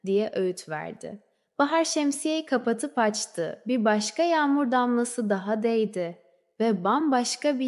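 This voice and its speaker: A woman narrating a scripted children's fairy tale in a measured storybook way, letting phrases trail off softly. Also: fairly high pitch. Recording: long reverberant hall-like echo.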